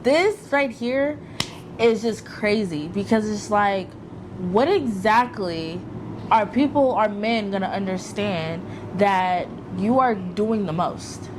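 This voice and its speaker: A woman's voice: mid-range voice, slow. Blunt, emphatic woman co-host talking casually over a noisy recording. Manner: blunt and emphatic, swears freely. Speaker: podcast co-host. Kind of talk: casual chat.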